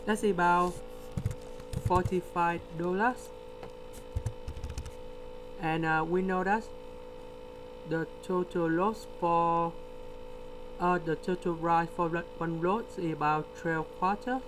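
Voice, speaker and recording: A man with a delivery, explaining a word problem step by step in a screen-recorded lesson. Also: high voice. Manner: slow, step-by-step explaining with pauses. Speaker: tutor. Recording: steady electrical hum behind.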